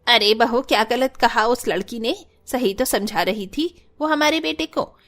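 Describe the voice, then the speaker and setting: A woman's voice: a little high, measured pace, a bit bright. A woman playing a disapproving elderly mother-in-law in a cartoon family story. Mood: reproachful.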